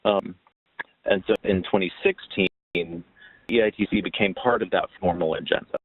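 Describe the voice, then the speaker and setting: Low-voiced man on a phone-like line. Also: fairly even intonation, no echo. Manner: halting, pausing mid-sentence. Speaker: webinar presenter. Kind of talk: informal explanatory presentation. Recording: muffled, dry phone-like line.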